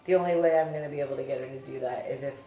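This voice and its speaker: A woman in her thirties from the United States, a doll company founder, answering interview questions in a thoughtful, unhurried way. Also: rumbling low, mellow, highs cut off. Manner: thoughtful, unhurried, trails off and restarts. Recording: echoey room.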